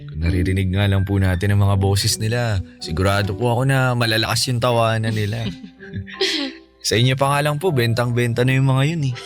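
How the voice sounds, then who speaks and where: A man's voice: medium pitch, moderate pace, music in the background, lightly acted. A man playing a respectful, playful, sickly teenage son in a Filipino radio drama. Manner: respectful, boyish, pleading.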